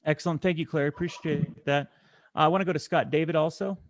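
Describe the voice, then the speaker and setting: Man, gravelly voice, dry close sound, leads committee discussion, halting, self-correcting. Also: on the high side, steady tone, no room sound.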